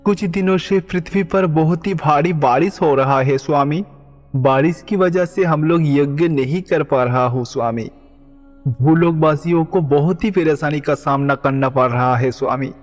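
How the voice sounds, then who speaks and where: Man voicing an earnest, higher-pitched troubled sage. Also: measured pace, natural voice. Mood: pleading. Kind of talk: animated devotional story dialogue. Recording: long reverb, music underneath.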